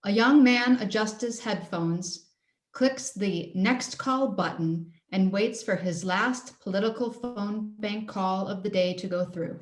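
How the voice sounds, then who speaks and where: A woman's voice: medium pitch, measured pace, faint music behind. A woman reading titles and stage directions as scripted narration over a video call. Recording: roomy, echoing video call.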